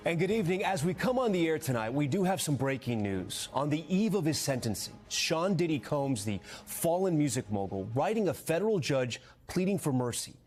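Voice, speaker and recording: A man's voice: on the high side, very animated, brisk, hardly any pauses, a little mellow. A man anchoring an evening newscast in a formal, polished manner. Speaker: news anchor.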